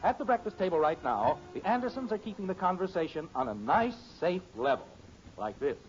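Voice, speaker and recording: A man's voice: high voice, very animated, brisk, faint music behind. An American man announcing in scripted 1950s radio style. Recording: dull old radio recording.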